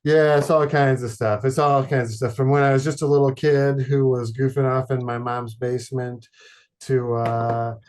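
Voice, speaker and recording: A man from Detroit, a podcast guest, chatting casually in a halting, rambling way with many fillers and deadpan jokes. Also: mid-range voice. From Detroit, Michigan. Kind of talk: casual chat.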